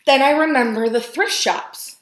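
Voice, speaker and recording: A woman with a slightly high voice reads a children's storybook aloud. She leans on key words with deliberate, dramatic emphasis. The recording is roomy and clearly echoing. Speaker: teacher reading a picture book aloud. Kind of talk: expressive read-aloud storytelling of a children's book. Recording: roomy, echoing indoor sound.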